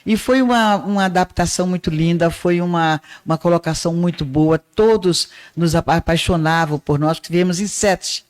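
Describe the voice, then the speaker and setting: Older woman, soft Northeastern Brazilian accent. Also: lowish voice. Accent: Northeastern Brazilian, softened. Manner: unhurried storyteller with small restarts. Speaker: guest, art teacher and radio presenter. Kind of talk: reminiscing interview storytelling.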